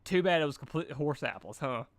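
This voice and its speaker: A man's voice: a little high, animated, a little fast, no echo, lightly acted. Guy doing a sneaky girl party pony from a cartoon, fake sweet and mocking. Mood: smirking. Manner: mock cheer, smirking, muttering asides.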